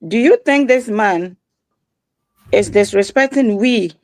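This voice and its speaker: A Ghanaian woman calling in to a discussion, speaking in a halting, aggrieved way. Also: mid-range voice, darkish tone, dry recording. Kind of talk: casual discussion.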